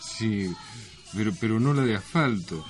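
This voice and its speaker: A man's voice: on the low side, expressive, a little slow, over music. A man with an Argentine accent co-hosting a radio show, bantering in a tongue-in-cheek, mock-formal way. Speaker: radio co-host. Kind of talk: bantering comic radio talk with mock-solemn lines.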